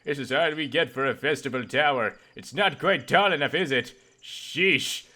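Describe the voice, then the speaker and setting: Man putting on a bright, breezy village foreman. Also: mid-range voice, moderate pace. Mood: mock-apologetic. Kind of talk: video game dialogue read aloud in parody.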